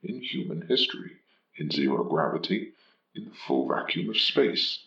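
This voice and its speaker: A man voicing a cold, implacable ship's AI in a sci-fi audio drama, speaking in a formal, impassive, measured way. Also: deep voice, sing-song, creaky, bright, forceful tone, lo-fi sound, full character voice. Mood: clinical, detached. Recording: echoing, distant, long reverberant tail.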